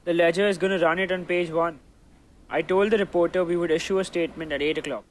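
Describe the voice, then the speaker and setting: A man's voice: on the high side, steady tone, fast talker, slight background noise, natural voice, rough recording. A teenage boy playing a businesslike company aide who brings grim news in a radio drama. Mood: grave. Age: teens, high school student.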